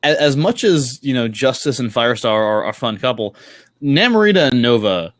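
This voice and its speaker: A man with a mid-pitched voice talks as a casual chat host in a dry, close space. He rambles and halts, often restarting his phrases, and swears offhandedly. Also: very animated, dry recording. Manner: rambling, halting delivery with frequent self-corrections. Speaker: podcast host.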